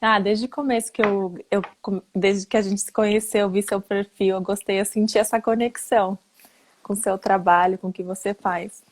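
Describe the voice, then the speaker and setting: A woman from Brazil talks as an architect guest in a relaxed online chat. Her voice sits at a middle pitch with a warm, dark edge. She explains things earnestly and conversationally. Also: moderate pace. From Brazil, grew up there. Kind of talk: casual live online chat.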